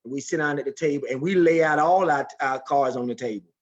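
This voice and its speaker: A man on a panel over a video call, speaking earnestly but haltingly with many fillers. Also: on the high side. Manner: earnest, halting, frequent uh's.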